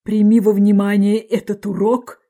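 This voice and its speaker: A woman's voice: medium pitch, level tone, normal speed, warm tone, close to an everyday voice. A woman voicing an old male crab, a preachy father who speaks in a ponderous, moralizing manner.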